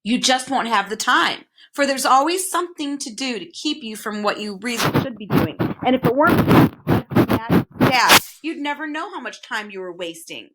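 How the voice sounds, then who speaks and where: A woman voicing a sour, deceitful male demon of petty tasks in a storybook reading, speaking softly and coaxingly. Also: fairly high pitch, expressive, normal speed, hardly any pauses, rough recording. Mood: menacing coaxing.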